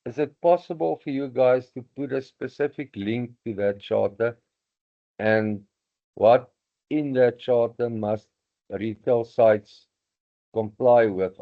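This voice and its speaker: A man asking an earnest, somewhat frustrated question in an online meeting. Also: fairly low pitch. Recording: tinny phone-like line.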